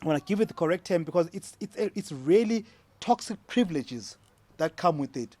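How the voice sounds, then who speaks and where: Emphatic South African man, higher voice. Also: expressive, normal speed, a little mellow, dry recording. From Eastern Cape, South Africa. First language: Xhosa. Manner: emphatic, repeats key points for force. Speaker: discussion panelist. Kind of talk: casual debate.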